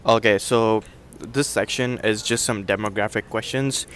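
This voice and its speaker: A young man interviewing a fellow student, reading survey questions in a quick, breezy way and answering each reply with a quick "cool". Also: fairly low pitch, fairly even intonation, fairly bright tone, slight background noise.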